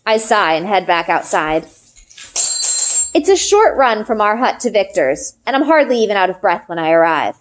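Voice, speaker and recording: A woman narrator giving an expressive read-aloud of a children's novel in the first person. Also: medium pitch, normal speed.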